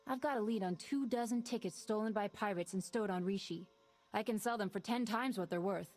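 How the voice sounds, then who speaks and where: Weary ex-smuggler pilot woman, voiced by an actress; brisk, businesslike, schemer. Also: medium pitch, darkish tone, soft background music, natural voice. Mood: scheming.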